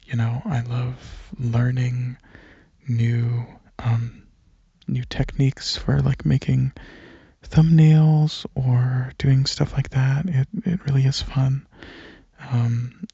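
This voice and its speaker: A man speaking softly and breathily in a relaxed, hesitant ASMR ramble, partly whispering, into a microphone held close. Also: mid-range voice, slow, creaky, slightly rough, no room sound, dull audio. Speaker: ASMR video creator. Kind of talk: casual rambling ASMR, softly spoken to listeners. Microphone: microphone held close at chest, leaning back.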